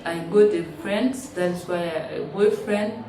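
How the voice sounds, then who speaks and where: A woman, telling her story in halting, simple English with frequent restarts. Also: mid-range voice. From South Sudan. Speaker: interviewee, refugee. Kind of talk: personal testimony in an interview. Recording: roomy, distant mic, noisy ambience.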